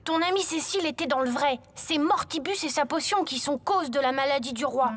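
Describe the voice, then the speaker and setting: A woman's voice: high-pitched, brisk. A woman voicing an earnest, plucky young boy in a cartoon, polite and eager. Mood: determined. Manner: bright-minded, polite, eager. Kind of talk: cartoon dialogue. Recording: loud music behind.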